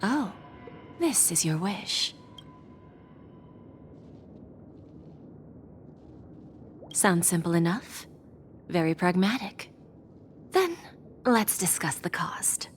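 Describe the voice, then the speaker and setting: An actress playing an enigmatic, alluring wish broker in a video game, measured and sultry, with breathy phrase endings. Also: female voice, lowish voice, very animated, a little hiss or hum. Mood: smooth, inviting. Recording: background music under the voice. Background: music.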